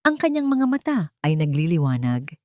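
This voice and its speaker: A woman narrating a scripted, sentimental audio drama in measured, dramatic short phrases. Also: deep voice, big pitch swings, a little mellow, no room sound, clean studio sound. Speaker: storyteller, narrator.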